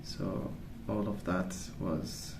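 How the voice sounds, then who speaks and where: A man speaking softly in a calm, gentle, unhurried way, rambling in a casual ASMR storytelling style. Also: deep voice. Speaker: ASMR video creator. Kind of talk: soft-spoken ASMR rambling. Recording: roomy sound with clear background noise.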